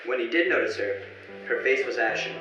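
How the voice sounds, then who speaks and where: A man's voice: mid-range voice, lively intonation, fairly quick, bright, forceful tone, highs cut off. A man narrating a scripted story in the style of an old-time radio play. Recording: very echoey, distant mic, music behind.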